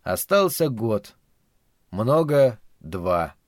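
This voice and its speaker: A man reading a novel aloud as an audiobook narrator, in measured, calm scripted storytelling. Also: mid-range voice, dry recording, studio quality.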